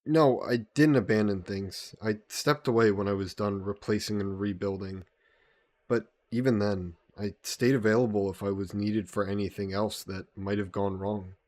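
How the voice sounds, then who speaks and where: A man playing a gentle, guilt-burdened vampire caretaker in an intimate audio roleplay, speaking politely and formally with some hesitation. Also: on the low side, steady tone, moderate pace, dry recording, natural voice. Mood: regretful, reflective. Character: gentle, guilt-burdened male vampire employer caring for a guest.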